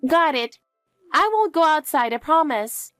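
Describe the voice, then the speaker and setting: A woman's voice: high voice, expressive, unhurried, fairly bright tone, no room sound, faint music behind, voice pushed up. A woman voicing an anxious, clingy young girl who pleads and asks question after question in a dramatized story. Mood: eager.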